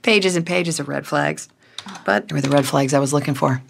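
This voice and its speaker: A woman playing a brisk, dry, authoritative boss in an audio drama office scene. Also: deep voice, vocal fry, slight background noise. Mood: dry, assured. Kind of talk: naturalistic audio drama acting.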